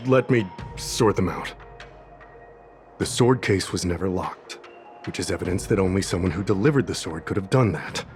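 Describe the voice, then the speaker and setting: A man's voice: low-pitched, natural voice. Man voicing a methodical official sleuth. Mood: puzzled. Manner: deliberate, reasoning step by step. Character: methodical, earnest male official detective, adult. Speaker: investigating official in a video game. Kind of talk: game cutscene voice acting. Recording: game audio with soft music behind.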